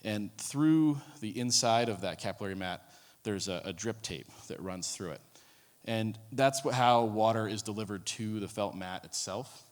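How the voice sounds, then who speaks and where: A man with a low voice presents to a room, speaking casually and filling pauses with ums and false starts. His voice carries a long hall reverb. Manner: casual, many ums and restarts. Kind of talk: conference talk. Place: conference room.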